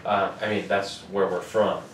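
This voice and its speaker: A man's voice: deep voice, flat delivery, moderate pace. Cursing, joking man on a podcast. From United States. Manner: loose, profane, joking. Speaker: podcast co-host. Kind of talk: casual sports podcast chat. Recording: roomy, distant mic with faint noise.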